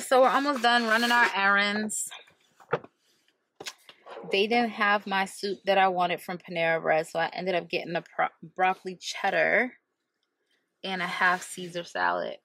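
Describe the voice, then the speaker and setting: Bright, gravelly woman vlogging from a car. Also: medium pitch, slight background noise. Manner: chatty, corrects herself mid-sentence. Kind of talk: casual vlog chat to camera. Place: inside a car, passenger seat. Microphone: camera held at arm's length.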